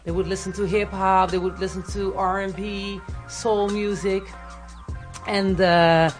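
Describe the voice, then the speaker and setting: A woman with a Dutch accent and a gravelly edge, talking casually and hesitantly as an interview guest. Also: medium pitch, level tone, measured pace, soft background music. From Netherlands, grew up in Tilburg. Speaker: music artist, interview guest. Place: radio studio.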